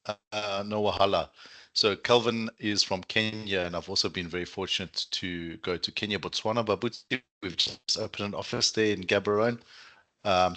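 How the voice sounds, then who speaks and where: A man with a South African accent speaks in a low, bright voice. He presents to an online audience informally, with an enthusiastic and chatty manner. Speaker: student recruitment manager presenting.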